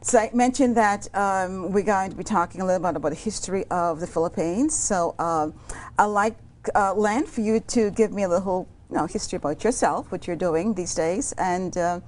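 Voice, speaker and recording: A woman's voice: medium pitch, brisk, a little mellow. A woman hosting a community television interview, speaking hesitantly with many ums. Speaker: talk show host. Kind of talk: casual interview talk.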